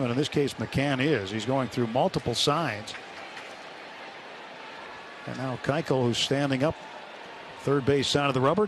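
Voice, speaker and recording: An American man calling live baseball play-by-play for a radio broadcast. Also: mid-range voice. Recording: radio broadcast over clear stadium noise. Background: ballpark ambience and crowd noise.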